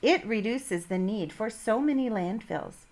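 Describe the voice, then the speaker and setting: Lady teacher doing a read aloud for kids, takes it slow, really up and down intonation, explains stuff simply. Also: a little high, animated, a little mellow. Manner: simple, instructive phrasing addressed to pupils. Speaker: elementary school teacher. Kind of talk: read-aloud science lesson for children.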